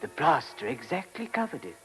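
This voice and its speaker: Uneasy, hesitant monk. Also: male voice, fairly high pitch, huge pitch swings, fairly quick, pushed and bright, soft background music, heavily acted. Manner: hesitant, diffident. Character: uneasy, apologetic male monk. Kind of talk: radio play acting.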